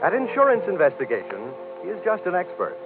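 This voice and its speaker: A man's voice: sits high, wildly swooping pitch, fast, faint music behind. An American man announcing a vintage radio show in a showy, declamatory scripted style. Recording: muffled vintage radio broadcast.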